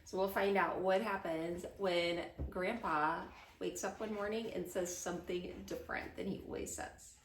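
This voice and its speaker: A woman's voice: on the low side, fairly even intonation, normal speed, slight background noise. A woman hosting a children's storytime as a teacher, reading a picture book aloud. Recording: roomy, echoing sound.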